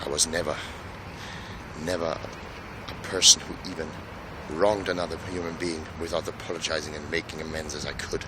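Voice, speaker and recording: An Austrian man, a native German speaker, delivering a solemn, grandiose, halting monologue with emphatic repetition, outdoors on a city square. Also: rumbling low, little pitch movement, background noise. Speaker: speaker in a self-made video statement. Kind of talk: impassioned declamatory monologue.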